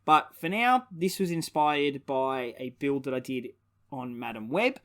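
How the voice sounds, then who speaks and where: A young man with a mid-pitched voice presents a hobby project in casual commentary. He thinks aloud as he goes, sometimes hesitant, in the loose style of a screen-recorded walkthrough. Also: expressive, a little slow, a bit bright. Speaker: hobbyist creator presenting own builds.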